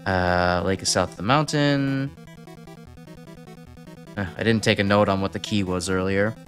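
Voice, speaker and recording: A man's voice: deep voice, a little slow, a bit bright, faint music behind. A young man from Chicago with a Chicago accent, rambling and correcting himself while chatting on a game stream. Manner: rambling, self-correcting, chuckling. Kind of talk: casual game stream chat.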